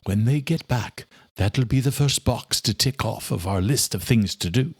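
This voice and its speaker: A man voicing an older, courteous, determined male doctor in an audiobook, speaking in a formal, measured way. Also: mid-range voice, breathy edge, dry recording, studio quality. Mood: musing. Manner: formal, measured, old-fashioned address. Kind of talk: audiobook character dialogue.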